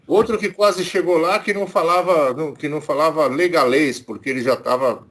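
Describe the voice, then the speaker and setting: A man's voice: a little high, fairly even intonation, moderate pace, a bit bright, a little hiss or hum. A man with a Brazilian Portuguese accent giving casual political commentary as co-host, indignant and sarcastic, swearing freely.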